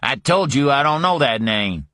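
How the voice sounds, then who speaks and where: A man voicing a blustering, angry Southern ex-con with a Southern US accent, his voice pitched up and raised. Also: expressive, normal speed, bright, forceful tone, no room sound, clean studio sound.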